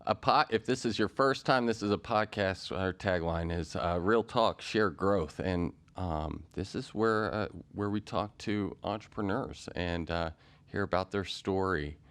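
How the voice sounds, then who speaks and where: A man with a very low, smooth and resonant voice hosts a podcast interview. He talks loosely and casually, circling his questions with fillers and restarts. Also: measured pace, fairly bright tone. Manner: rambling, many fillers and restarts. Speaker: podcast host.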